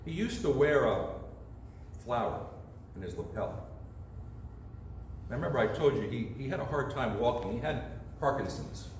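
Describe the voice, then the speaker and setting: A man's voice: medium pitch, lively intonation, normal speed, pauses often, a little hiss or hum. A middle-aged man preaching a storytelling sermon in a church sanctuary, gesturing with both hands as he speaks. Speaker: pastor. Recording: distant echoey sanctuary sound.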